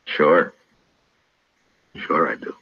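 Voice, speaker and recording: An actor playing a wry, laconic frontier marshal in a radio western, with a dry, understated and world-weary manner. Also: male voice, extremely slow. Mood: courting, guarded. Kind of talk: 1950s radio western acting. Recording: muffled, echoey vintage radio recording.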